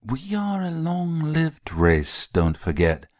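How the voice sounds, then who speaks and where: A man's voice: fairly high pitch, huge pitch swings, dry recording, studio quality, heavily acted. An actor playing a long-lived vampire nobleman in an audio drama, composed, suave and knowing. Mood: measured, knowing. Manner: measured, suave, formal. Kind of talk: audio drama acting, measured exposition.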